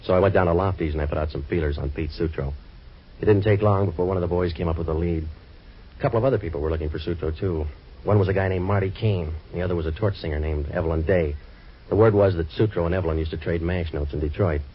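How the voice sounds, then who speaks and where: An actor playing a wisecracking waterfront boat-renter turned private eye, narrating in hard-boiled first person. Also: male voice, low voice, fast, slightly rough. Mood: wry. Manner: dry, sardonic wisecracks. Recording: dull old radio recording with faint hiss.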